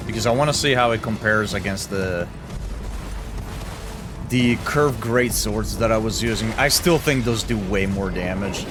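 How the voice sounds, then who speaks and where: Chatty Italy-born man streaming a game. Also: fairly low pitch. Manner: chatty, joking with chat. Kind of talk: casual gameplay commentary. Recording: game music underneath. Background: music.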